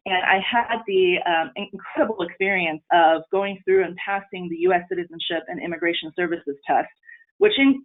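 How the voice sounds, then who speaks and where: Woman presenting over a tinny line. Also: fairly low pitch. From Taiwan. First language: Mandarin Chinese. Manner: earnest, with frequent ums and restarts. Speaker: webinar presenter. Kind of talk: presenting a training webinar. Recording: tinny phone-line audio.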